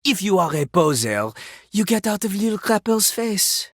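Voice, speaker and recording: A woman's voice: medium pitch, sing-song, a little slow, breathy edge, no echo, studio quality. A woman voicing a boastful, vulgar male French rapper toilet in a video game, with a French accent and a pompous, theatrical delivery that slips into rap. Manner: pompous, refers to himself in the third person.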